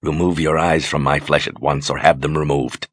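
A man voicing a cold, aloof sorceress who speaks with slow, quiet menace. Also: very deep voice, steady tone, breathy edge, no room sound, full character voice. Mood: icy.

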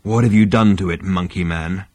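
Husky, irritable storybook space rogue played by a male narrator, brash, curt muttering, animated. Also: on the high side, normal speed, no room sound, voice pushed up. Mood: menacing.

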